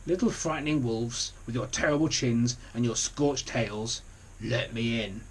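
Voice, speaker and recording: A man voicing a pompous big bad pig, a storybook villain, with a deliberate chant. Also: medium pitch, slightly rough, poor audio. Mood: menacing.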